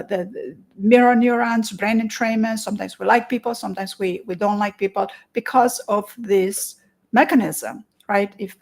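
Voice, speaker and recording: A woman from Romania with a Romanian accent, a therapist giving an earnest promotional talk, with many restarts and stretched pauses. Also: mid-range voice, gravelly. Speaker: therapist and conference presenter.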